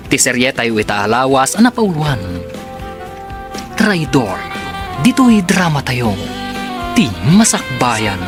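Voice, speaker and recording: Guy announcer reading radio drama credits, medium pitch, music clearly behind him.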